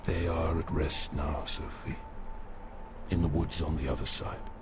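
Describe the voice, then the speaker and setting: A grave male village elder speaks in an extremely low voice. He sounds weary, solemn and mournfully consoling, a fantasy game character, with clear ambient noise behind him. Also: steady tone, unhurried. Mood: solemn consolation. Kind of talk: video game character dialogue.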